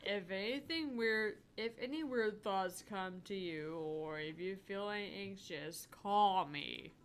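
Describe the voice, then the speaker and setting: A woman voicing a concerned, protective friend in a visual novel, caring, measured and gently insistent. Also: mid-range voice, a little hiss or hum, close to an everyday voice. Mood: concerned, firm.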